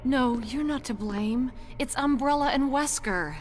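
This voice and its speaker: High-voiced, earnest action heroine. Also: female voice, a little slow, a little hiss or hum. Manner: urgent, earnest. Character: brave, earnest young policewoman in a horror game. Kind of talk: dramatic video game acting.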